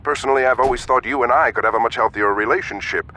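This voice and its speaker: A man's voice: fast, bright, forceful tone. A man playing an ingratiating, scheming older politician with genteel polish in a video game cutscene. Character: smooth-talking, scheming older male politician. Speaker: actor voicing a city alderman in a crime game. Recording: background rumble and noise.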